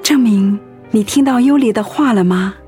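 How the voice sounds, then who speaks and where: A woman playing a gentle, devoted widow in a radio drama, speaking earnestly and tenderly. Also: a little high, moderate pace, soft background music, natural voice. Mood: moved, resolute. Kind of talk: Mandarin radio drama acting.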